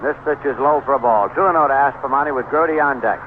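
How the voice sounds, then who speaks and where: A man calling baseball play-by-play on the radio in measured, descriptive short phrases. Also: medium pitch. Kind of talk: live radio sports commentary. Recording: noisy, tinny vintage 1970s AM radio broadcast.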